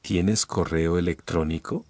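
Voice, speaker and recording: Lower-voiced man reading Spanish phrases. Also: measured pace, no room sound. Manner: careful, even delivery for repetition. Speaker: Spanish phrase reader in a lesson. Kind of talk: scripted phrase reading for learners.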